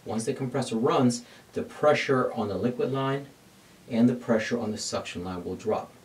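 A middle-aged man teaching an HVAC lesson at a glass board in a dark studio, explaining each step and answering his own questions. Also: medium pitch, moderate pace, far from the mic, a little hiss or hum. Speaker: HVAC instructor. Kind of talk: whiteboard technical lesson.

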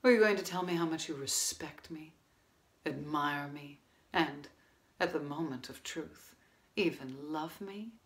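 A woman acting a worldly, blunt, cynical seductress in a stage monologue, speaking deliberately and quietly, often near a whisper. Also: expressive. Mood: scornful, sensual. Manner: low-key, deliberate, cutting, often breathy near-whisper.

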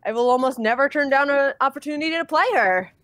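Woman voice actor just chatting on stream, higher voice, dry close sound. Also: quick pace, fairly bright tone, no room sound. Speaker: amateur voice actor in a cast. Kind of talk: casual livestream chat.

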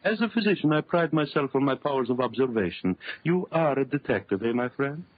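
An actor playing a megalomaniac villain doctor in a 1930s radio horror drama, theatrical, commanding and boastful. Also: male voice, medium pitch, animated, brisk, no room sound, close to an everyday voice. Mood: menacing. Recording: muffled old 1930s radio recording.

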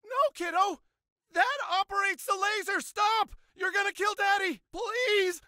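An actor playing a middle-aged, high-strung American suburban dad in an animated comedy game, foul-mouthed and falsely cheery. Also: male voice, measured pace, no echo, studio quality, heavily acted. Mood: panicked, pleading. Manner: swings between sugary pet names and shrill cursing.

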